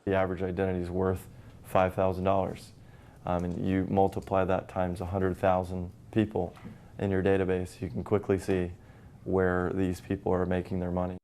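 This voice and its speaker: A man's voice: deep voice, completely flat delivery, normal speed, mellow. A man speaking as an expert in a recorded interview soundbite. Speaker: data security expert. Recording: interview clip with faint background noise.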